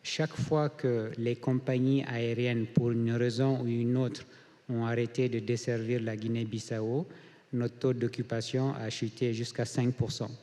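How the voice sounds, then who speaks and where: A man from Mali speaking on a conference panel as a hotel group founder, measured with frequent hesitations. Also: medium pitch, flat delivery, warm tone. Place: conference hall. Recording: reverberant conference hall.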